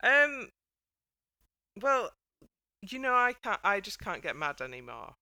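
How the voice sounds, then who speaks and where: A woman's voice: mid-range voice, big pitch swings, measured pace, bright, forceful tone, no echo, clean studio sound. A woman hosting a casual panel chat in a chatty, wry manner. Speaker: podcast host.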